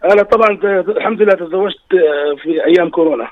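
High-voiced caller on a dull line. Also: male voice, rapid-fire, no echo. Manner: earnest, pious storytelling. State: slightly tired voice. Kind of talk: phone-in personal story.

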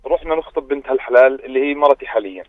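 A man in his thirties with a Jordanian accent calls in and briskly tells an anecdote about his engagement. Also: medium pitch, no room sound. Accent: Jordanian Arabic. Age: 30s. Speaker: caller. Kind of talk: phone-in personal story. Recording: tinny phone line.

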